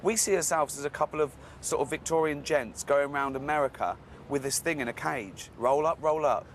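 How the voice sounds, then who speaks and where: A man guest on a breakfast show, teasing his friends and breaking into high giggles. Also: a little fast, noisy background. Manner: mocking, laughs into high-pitched giggles. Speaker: comedian guest.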